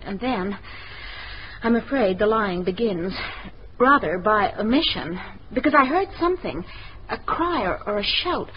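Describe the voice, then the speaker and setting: A woman's voice: fairly high pitch, lightly acted. An actress playing a strong-willed young woman in a radio mystery, direct, articulate and insistent. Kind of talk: radio mystery drama acting. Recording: muffled radio recording, faint noise.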